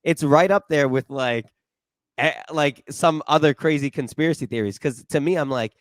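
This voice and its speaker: An earnest man in his late twenties rambles enthusiastically as he hosts, his voice sitting a little high for a man. The sound is dry and close, with no room around it. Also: moderate pace, no room sound. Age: late 20s. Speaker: podcast host. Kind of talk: casual interview chat.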